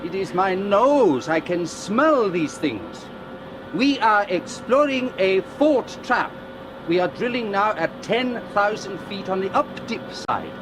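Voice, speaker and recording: A seasoned oil prospector who trusts his nose and his readings. He talks slowly in a high, lively voice. The old radio recording carries a steady hiss. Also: full character voice. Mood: assured. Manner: slow, deliberate, self-assured. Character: confident veteran oil prospector, calculating gambler. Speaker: oil exploration expert. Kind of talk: 1960s-70s radio drama acting.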